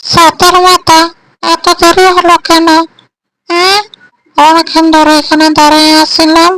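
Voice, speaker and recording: A woman's voice: very high voice, completely flat delivery, a little slow, a bit bright, poor audio. A woman playing a sulky young sweetheart in an amateur cartoon, scolding and pouting. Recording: faint background noise.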